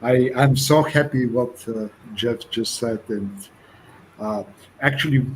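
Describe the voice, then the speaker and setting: A man's voice: medium pitch, slow pace, low-quality recording. Man from Bulgaria, earnest panelist, faint noise under the line. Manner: earnest, apologetic, hesitant. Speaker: panel participant. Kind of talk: discussion on a web forum call.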